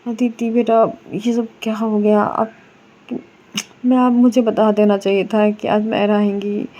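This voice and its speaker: A woman voicing a middle-aged mother-in-law who speaks gently and hesitantly, stumbling over her words. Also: medium pitch, level tone, natural voice. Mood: sorrowful. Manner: halting, hesitant, many broken starts.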